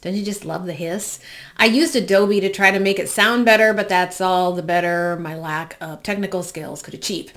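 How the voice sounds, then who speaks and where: A woman's voice: fairly low pitch, normal speed, fairly bright tone. An American woman in her forties from the Midwest, hosting with a personal, reflective monologue. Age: 40s. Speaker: host.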